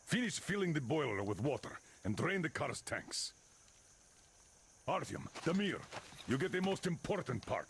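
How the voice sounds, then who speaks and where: Game military commander, forceful high-pitched voice, decisive, authoritative clipped orders, faint noise. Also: male voice, big pitch swings, moderate pace, creaky, exaggerated voice. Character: commanding military leader of a survivor crew, decisive. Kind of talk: video game voice acting.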